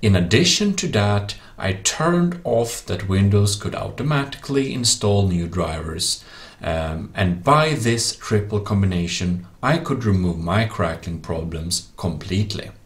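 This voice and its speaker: A man's voice: deep voice, lively intonation, unhurried. A man with non-native English phrasing, narrating a computer tutorial in a casual, explanatory way. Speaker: tech tutorial presenter. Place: voice-over for a screen recording.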